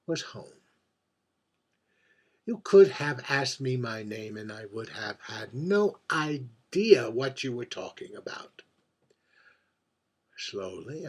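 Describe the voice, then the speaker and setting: Man playing a slow, husky, lonely drag queen. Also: medium pitch, exaggerated voice. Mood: confiding, then pensive. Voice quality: husky, breathy. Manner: halting, wistful, confiding, with dramatic pauses. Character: lonely, heartbroken, boozy drag queen confiding at a bar. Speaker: writer reading own monologue. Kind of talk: theatrical dramatic monologue.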